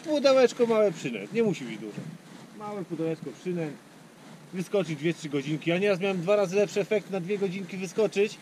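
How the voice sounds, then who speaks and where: A man in his thirties speaks in a laid-back, fairly high voice, with short replies that often trail off. He is recorded outdoors on a small boat by an action camera. Faint outdoor noise runs behind him. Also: animated, a little fast. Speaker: fishing companion. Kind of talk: casual chat while fishing.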